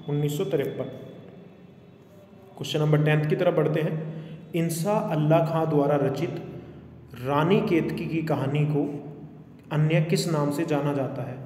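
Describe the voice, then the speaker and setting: A man's voice: a little high, dark tone. A man teaching a quiz lesson to online viewers, repeating questions instructively and switching into English phrases. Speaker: online exam coaching teacher. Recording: echoey reverberant room with faint noise.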